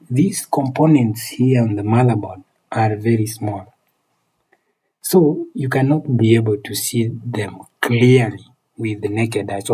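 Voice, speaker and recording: Slow-talking, husky Kenyan man. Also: medium pitch, warm tone, low-quality recording. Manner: repeats phrases, friendly thanks to viewers. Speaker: phone repair technician and live streamer. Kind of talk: casual livestream chat, teaching and replying to viewers.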